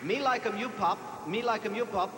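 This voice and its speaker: A man's voice: sits high, fairly quick, sharp tone. A man with an American accent hosting a live quiz show, reading out questions to the players. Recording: echoey hall, noisy old TV broadcast.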